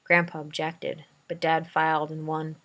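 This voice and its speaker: A woman's voice: deep voice, completely flat delivery, normal speed, a bit bright. A woman reading a dramatic first-person story aloud as an amateur narrator.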